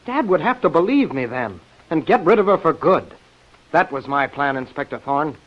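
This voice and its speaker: A man's voice: a little high, sing-song, normal speed, lo-fi sound. An American actor playing a resentful, hot-headed young man with a bitter, defiant manner in a 1950s radio detective drama. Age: young adult.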